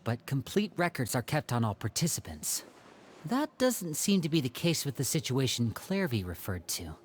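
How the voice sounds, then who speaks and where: A man voicing a poised, persuasive young man in a video game, speaking in a calm, reasoned way. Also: medium pitch, slight background noise, lightly acted. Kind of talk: video game cutscene dialogue.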